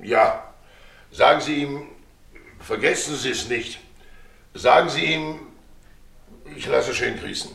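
An actor playing a jovial middle-aged ex-actor innkeeper in a German radio play, declamatory, pompous and playful. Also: male voice, mid-range voice, takes it slowly, vocal fry, pauses often, a bit bright. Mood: ironic.

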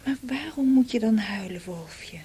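An actress playing a scolding yet tender wife in a Dutch radio drama, chiding and fussing, often in breathy half-whispers. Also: female voice, on the high side, lively intonation, a little slow, mellow, full character voice. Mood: sad, tender.

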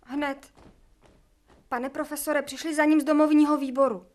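A cheeky young housemaid, played by a woman, chattering eagerly and gossiping about the household. Her voice sits fairly high for a woman, and the scene belongs to a radio drama. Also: fairly even intonation, close to an everyday voice. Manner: eager, gossipy, quick to laugh.

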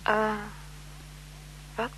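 A woman's voice: extremely slow, fairly bright tone, a little hiss or hum, low-quality recording. An actress playing a formal, guarded French marquise, a bored wife and mother, in a 1970s Dutch radio drama. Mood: evasive, uneasy. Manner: formal, hesitant, evasive with her companion.

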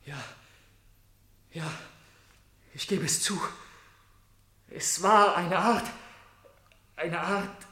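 A tormented male suspect under interrogation in a German radio drama. The voice sits a little high, earnest and brooding, with halting phrases of confession and pleading. It rings in a roomy, echoing space. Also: bright, forceful tone, full character voice. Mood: subdued, brooding. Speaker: actor. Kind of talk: German radio play acting.

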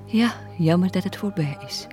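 An actress with a Flemish accent playing a village gossip relishing a scandal in a radio play. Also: female voice, on the low side, normal speed, dark tone, soft background music, lightly acted. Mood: regretful gossip. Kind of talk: 1980s radio play acting.

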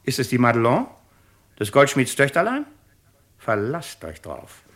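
An actor playing a shrewd, pompous, mocking presiding judge in a 1950s radio play. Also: male voice, mid-range voice, huge pitch swings, unhurried, a bit bright, full character voice. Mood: stern, menacing. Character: shrewd, sardonic male presiding judge of a French tribunal.

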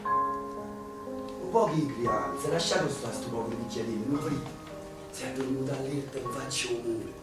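An actor playing a young Neapolitan man who jokes and exclaims loudly while sheltering from air raids, with a Neapolitan accent. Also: medium pitch, measured pace, long pauses, close to an everyday voice. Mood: tender. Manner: loud, exclaiming, jesting. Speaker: stage actor. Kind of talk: theatrical radio play acting. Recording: echoey distant stage recording with music.

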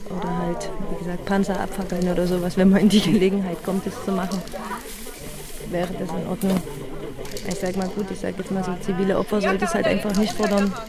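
A woman's voice: mid-range voice, level tone, fairly quick. A woman giving tentative, casual answers in an outdoor street interview. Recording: outdoors amid loud crowd, birds.

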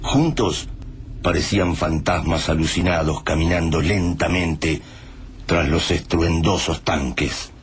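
An actor as the grave storyteller of an apocalyptic tale, narrating a radio drama in an Argentine accent. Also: male voice, low voice, steady tone, slow. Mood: grave, atmospheric. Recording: noisy atmospheric sound bed.

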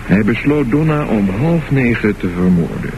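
A man narrating a scripted radio drama in measured, deliberate short phrases. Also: mid-range voice, very animated, a little mellow. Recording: noisy, dull lo-fi radio recording.

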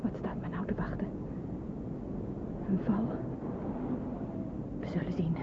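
An actress playing an anxious young nurse in a wartime radio play, hesitant and murmuring to herself. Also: female voice, fairly low pitch, steady tone, slow pace, whispered. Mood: tense, musing. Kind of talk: Dutch radio play acting. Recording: noisy narrow-band old radio play recording.

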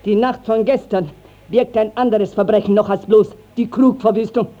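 A woman's voice: mid-range voice, steady tone, moderate pace, mellow, close to an everyday voice. An actress playing a garrulous, righteous village mother, declaiming in a classical German radio drama. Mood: accusing. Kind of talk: classical German stage-style radio acting. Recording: dull 1950s radio drama recording.

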